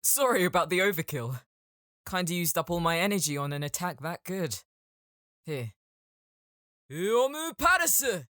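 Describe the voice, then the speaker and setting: A showy young wizard from a fantasy game audiobook, acted by a man. He talks in a grand, theatrical way with very lively pitch, recorded close and dry. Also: measured pace, pauses often, no room sound, heavily acted. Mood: casual, apologetic. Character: showy, smirking young male game mage.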